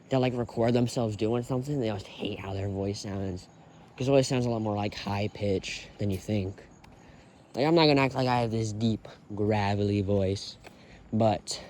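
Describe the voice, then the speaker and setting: A man's voice: fairly low pitch, a little mellow, slight background noise. A young man rambling on a solo walk with many fillers and sighs, recorded on a phone in his pocket outdoors. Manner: rambling, filler-heavy, sighing often. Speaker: vlogger. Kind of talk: rambling walking vlog. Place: outdoors on a quiet road.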